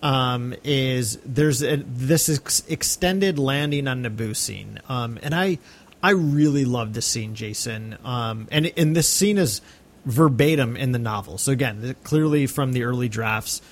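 A man's voice: mid-range voice, measured pace. A male podcast host talking casually and earnestly about film, with an enthusiastic manner.

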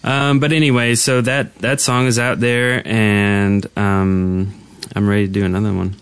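Low-voiced, halting male podcast host. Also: flat delivery, clear, resonant tone, no echo. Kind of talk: casual podcast chat. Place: podcast studio.

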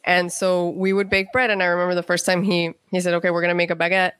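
A woman's voice: lowish voice, flat delivery, fast, fairly bright tone, clean tone, no echo. Woman guest storytelling, dry close sound. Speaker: interview guest, writer. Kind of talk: conversational storytelling interview.

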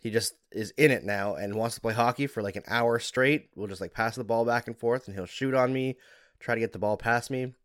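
A man from Alberta, a hockey analyst co-hosting a podcast, sick and under the weather, telling relaxed chatty stories. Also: medium pitch, brisk, dry recording, clean studio sound. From Alberta, Canada. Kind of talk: casual sports podcast chat.

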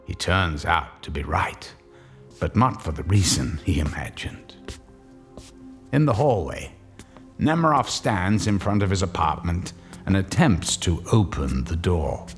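A man's voice: sits low, very animated, a little slow, soft background music. A man narrating an old-time radio drama in a dramatic, suspenseful storytelling manner.

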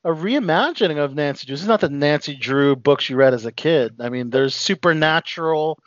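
A man's voice: fairly high pitch, fairly quick, dry recording, muffled recording. A man interviewing a guest in casual chat, his questions hesitant and trailing.

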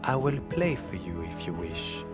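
An actor playing a sinister, courtly masked phantom, speaking solemnly in an audio drama. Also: male voice, low-pitched, big pitch swings. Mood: ominous, seductive. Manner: slow, solemn, ominous. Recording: loud music behind the voice.

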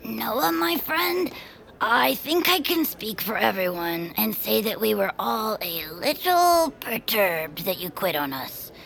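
High, creaky, excitable little performer. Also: female voice, takes it slowly, exaggerated voice, low-quality recording. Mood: tender, earnest. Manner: excitable, wide-eyed, earnest. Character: excitable, childlike, anxious amateur stage performer. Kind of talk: scripted cartoonish audio drama acting.

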